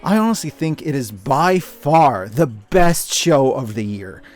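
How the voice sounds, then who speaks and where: A man's voice: mid-range voice, sing-song, unhurried. A man narrating a scripted review with casual asides, speaking in an earnest, opinionated and emphatic way. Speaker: video essayist and media reviewer. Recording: music behind the voice. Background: music.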